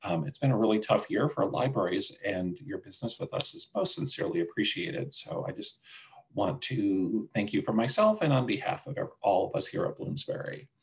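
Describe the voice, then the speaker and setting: Low, gravelly-voiced man presenting a webinar. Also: big pitch swings, fairly quick. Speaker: senior account manager presenting a product demo. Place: indoors, on a video call. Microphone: video call microphone.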